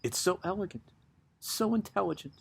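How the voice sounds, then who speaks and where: A neurotic, anxious woman, acted by a man, pitched high and slightly husky. The delivery is urgent and pleading, pressing out short demanding questions in a dramatic poetry reading. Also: lively intonation, moderate pace, exaggerated voice. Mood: fretful.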